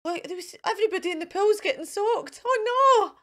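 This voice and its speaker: A woman reading a picture book aloud to children in an expressive, sing-song way, acting out sound effects and adding asides. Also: extremely high pitch, moderate pace. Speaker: children's storyteller. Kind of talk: animated picture-book read-aloud with asides to young viewers.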